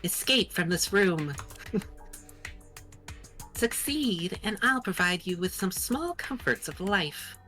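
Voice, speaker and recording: A woman's voice: mid-range voice, lively intonation, takes it slowly, long pauses, pushed and bright, soft background music. A woman voicing a glib, mocking kidnapper who toys with a captive in a game. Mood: mocking, sinister. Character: mocking, nonchalant kidnapper toying with a captive pupil. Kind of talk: voiced game character lines.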